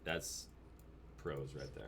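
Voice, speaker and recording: A man's voice: rumbling low, slow, fairly bright tone. A young man hosting a livestream in casual chat. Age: young adult. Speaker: livestream host. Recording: clear noise, keyboard clicks, home stream.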